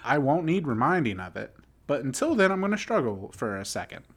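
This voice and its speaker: A man's voice: mid-range voice, sing-song, brisk, fairly bright tone, breathy edge. A man in his twenties, a podcast co-host, ranting casually and heatedly about his hockey team, filling his talk with "like". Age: 20s. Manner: heated, exasperated ranting with lots of "like" fillers. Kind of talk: casual sports chat.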